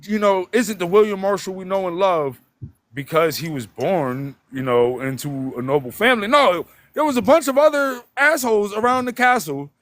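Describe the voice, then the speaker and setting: Blunt, high-voiced man, dry sound. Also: animated, moderate pace, fairly bright tone, no echo. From Detroit, United States. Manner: blunt, profane, emphatic, keeps tagging "right?". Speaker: guest. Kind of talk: casual live-stream conversation.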